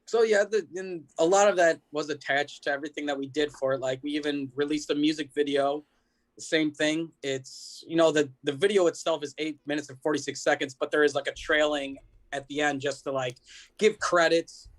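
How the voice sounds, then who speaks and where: A man's voice: fairly high pitch, steady tone, fairly quick. A man from the United States, a musician being interviewed, explaining things in an earnest, conversational way. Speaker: band member being interviewed. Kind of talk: casual explanatory interview chat. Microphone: video call.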